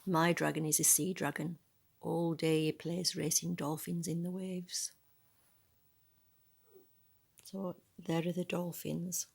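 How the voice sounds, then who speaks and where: A woman reading a picture book aloud in a soft, breathy voice, adding musing, conversational asides about the illustrations. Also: on the low side, flat delivery, unhurried. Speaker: storybook reader.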